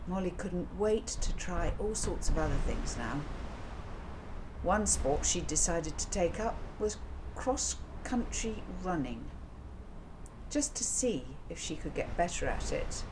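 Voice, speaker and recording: A woman reading a children's novel aloud in a measured, expressive storytelling style. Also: lowish voice. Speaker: author reading her own children's novel. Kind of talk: audiobook storytelling narration. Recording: clear background noise.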